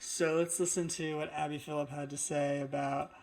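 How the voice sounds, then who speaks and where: Indignant man with a higher-pitched voice. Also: flat delivery, moderate pace, creaky, a crowd in the background. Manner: indignant, emphatic, repetitive phrasing. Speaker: commentator hosting a political commentary show. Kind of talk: opinionated off-the-cuff political commentary.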